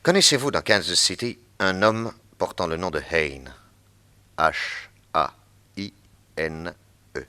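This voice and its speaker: An actor playing a shrewd, calm male detective in a radio drama, speaking in a low, measured way. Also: heavily acted. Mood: calm, probing.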